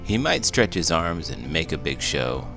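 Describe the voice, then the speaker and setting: Guy doing a cheeky talking horse for kids storytime, super gravelly n slow, really hammy. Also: very deep voice, very animated, bright, forceful tone, faint music behind, full character voice. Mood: playful, teasing. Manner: theatrical, exaggerated. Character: Tango, a talking male horse, cheeky, curious storybook sidekick. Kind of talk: read-aloud children's storytelling with banter.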